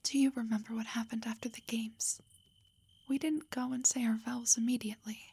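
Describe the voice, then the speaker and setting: A woman playing a loving, protective elf wife in an intimate roleplay audio, speaking tenderly and reassuringly in a breathy whisper. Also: on the high side, steady tone, a little fast, dark tone. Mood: tender, soothing.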